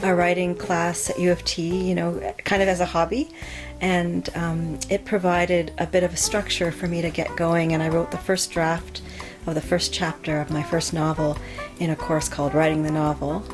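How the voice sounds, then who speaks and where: A woman's voice: on the low side, level tone, moderate pace, over music. A middle-aged woman being interviewed as an author, answering thoughtfully with frequent ums and restarts. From Canada. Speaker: author guest.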